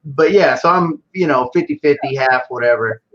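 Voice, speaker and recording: A man's voice: medium pitch, moderate pace, fairly bright tone, dull audio. A man from Houston with a Texas accent and Spanish as his first language, chatting casually as an interview guest. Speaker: interview guest, business owner. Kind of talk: casual chat about his background.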